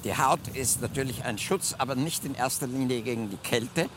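Man explaining outdoors over clear background noise. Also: medium pitch, fairly quick. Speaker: park ranger guide. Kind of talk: casual explanation in an interview. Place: outdoors on a beach. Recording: noisy outdoor location recording. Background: clear outdoor ambient noise.

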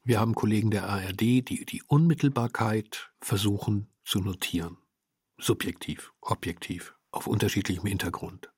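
A man giving a reflective interview statement about his work as a radio drama maker, phrasing deliberately and emphatically in fragments. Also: on the low side, breathy edge, no echo, studio quality.

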